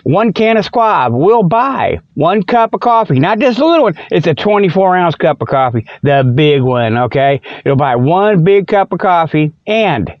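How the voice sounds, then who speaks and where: High-voiced folksy man, rambling radio host. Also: sing-song, normal speed, few pauses, dry recording. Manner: folksy, joking, digressive. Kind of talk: rambling comic monologue. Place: inside a truck. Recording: dry, dull lo-fi audio.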